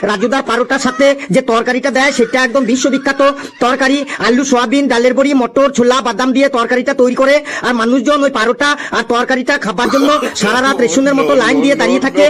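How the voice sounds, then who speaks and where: A man's voice: very high voice, fairly even intonation, quick pace, few pauses, fairly bright tone. A man voicing a cheeky, boastful schoolboy in a comic cartoon, reciting with singsong eagerness. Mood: eager, reciting.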